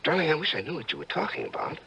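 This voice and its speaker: An actor playing a coolly vain, secretly murderous judge and husband in an old-time radio drama, measured and urbane. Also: male voice, a little high, huge pitch swings, vocal fry, bright, forceful tone, slight background noise, full character voice, rough recording. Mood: breezy, evasive. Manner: measured, urbane, condescending to his wife.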